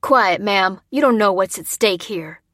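A woman voicing an aggressive, desperate man of around forty, forceful and urgent. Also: medium pitch, flat delivery, a little fast, dry recording, studio quality, lightly acted. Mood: angry, urgent.

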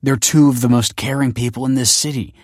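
A man's voice: medium pitch, steady tone, brisk, darkish tone, dry recording, studio quality, pitched above the performer's own voice, natural voice. A man playing an earnest, protective father and husband in an audiobook, speaking insistently. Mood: earnest, persuading.

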